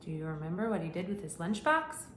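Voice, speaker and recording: A woman's voice: lowish voice, quick pace. A woman teacher reading a picture book aloud to young children, asking them questions along the way. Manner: animated storytelling with questions to children. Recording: echoey room with faint hum.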